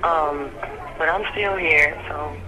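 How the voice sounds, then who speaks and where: A woman's voice: low voice, takes it slowly, noisy background. A woman leaving a casual voicemail over the phone, hesitant and bewildered.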